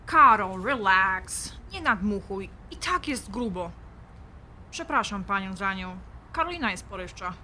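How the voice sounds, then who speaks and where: Polite young fiancée, bright expressive voice, calm, conciliatory, audio drama. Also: female voice, medium pitch, measured pace, a little hiss or hum. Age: young adult.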